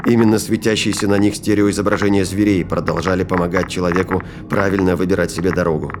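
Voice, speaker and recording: A man's voice: low voice, steady tone, quick pace, noisy background. A man reading dramatic scripted narration as the narrator of an audio drama. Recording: loud music behind. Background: loud music and effects.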